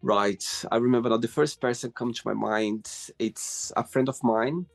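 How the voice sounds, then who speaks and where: A man's voice: mid-range voice, moderate pace, creaky, faint music behind. A man with a Brazilian accent hosting a casual interview, effusive and full of "you know" fillers. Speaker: host.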